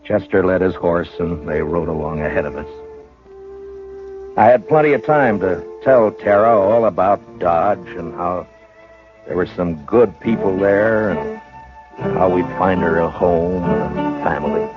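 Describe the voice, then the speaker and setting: A steady, grave frontier lawman in a 1950s radio drama, with a very deep voice and calm, measured authority. The sound is thin and phone-like, with faint music behind it. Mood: somber. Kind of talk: 1950s radio drama acting and first-person narration. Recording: tinny old radio transcription with faint music.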